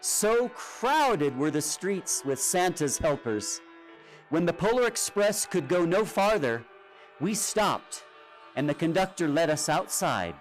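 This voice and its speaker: A man reading a children's storybook aloud in an expressive, dramatic way. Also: on the high side, takes it slowly, low-quality recording. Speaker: storybook reader. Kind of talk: scripted children's storybook narration. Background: music and sound effects.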